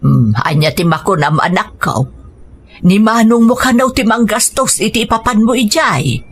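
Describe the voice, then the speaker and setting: A persuasive mother in an Ilocano radio drama, acted by a woman, speaks to her grown son. She coaxes him at a mid pitch, over faint background noise. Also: lively intonation, moderate pace, close to an everyday voice. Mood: coaxing.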